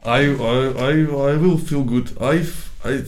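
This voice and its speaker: A man, an English speaker, chatting casually and haltingly searching for words. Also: mid-range voice, darkish tone. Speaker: language learner.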